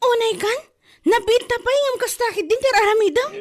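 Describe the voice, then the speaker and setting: A woman doing a shrill, scolding Ilocano woman. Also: normal speed. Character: nagging woman scolding a drunkard.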